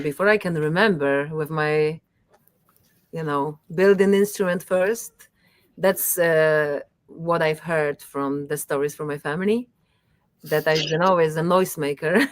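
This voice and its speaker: A woman whose first language is Polish, talking casually as an interview guest, halting with many fillers. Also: deep voice, dry recording. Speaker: musician guest.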